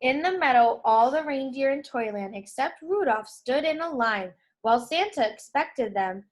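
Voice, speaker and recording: A woman reading a children's storybook aloud in a deliberate way for young listeners. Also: high voice, lively intonation.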